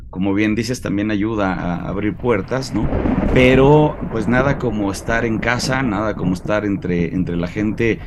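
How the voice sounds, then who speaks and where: A man from Mexico with a Mexican Spanish accent, hosting a relaxed academic conversation over a video call, talking in a friendly way with many fillers and repeated words. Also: lowish voice, a little hiss or hum. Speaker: host.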